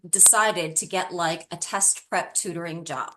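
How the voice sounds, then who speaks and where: Earnest middle-aged woman, slightly low voice. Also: steady tone, measured pace, sharp tone, a little hiss or hum, rough recording. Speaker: interview guest, tutoring business founder. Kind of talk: conversational interview answers.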